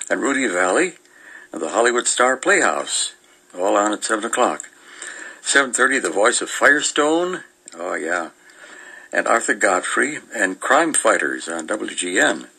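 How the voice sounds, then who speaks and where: An older man chats casually as a radio co-host, going through old listings. His voice is fairly low and gravelly, with a strikingly forceful, bright edge. He meanders and halts as he reminisces. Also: poor audio. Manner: meandering, chuckling, halting delivery.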